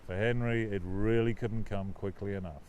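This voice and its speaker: Low-pitched man narrating an audiobook. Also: fairly even intonation, moderate pace, a little mellow, slight background noise. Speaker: author reading own novel.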